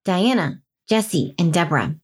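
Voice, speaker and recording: Lowish-voiced woman podcast host. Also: lively intonation, measured pace, husky. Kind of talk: casual chat and reading listener mail.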